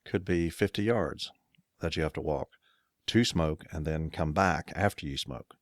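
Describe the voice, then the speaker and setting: Deep-voiced middle-aged man, rambling chat. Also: a little slow, no echo, studio quality. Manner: rambling, self-correcting storyteller with chuckles. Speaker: podcast co-host. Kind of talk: casual chat.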